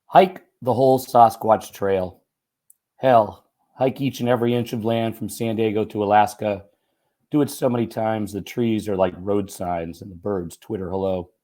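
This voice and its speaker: A slightly hoarse man reading a first-person short story aloud in an expressive, conversational, folksy way. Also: on the low side, normal speed. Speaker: writer and teacher reading own short story.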